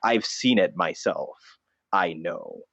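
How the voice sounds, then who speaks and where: A man's voice: low-pitched, wildly swooping pitch, slow pace, gravelly, fairly bright tone, slightly rough, dry recording, dull audio, exaggerated voice. A man playing a self-serious, defensive vigilante notebook who dresses as a bat, in an improvised comedy interview. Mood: indignant. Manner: solemn, defensive, callously self-justifying.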